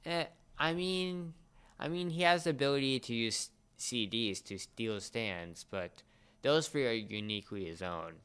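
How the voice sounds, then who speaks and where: A man chatting casually as a fan, often halting and searching for his words. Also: mid-range voice, animated, a bit bright, clean tone.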